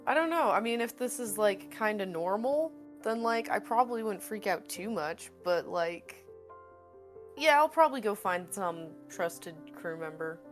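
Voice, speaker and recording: A woman's voice: medium pitch, lively intonation, measured pace, soft background music. A woman chatting informally as a player in a tabletop roleplaying game, improvising in a hesitant, rambling way. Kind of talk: casual improvised roleplay chat.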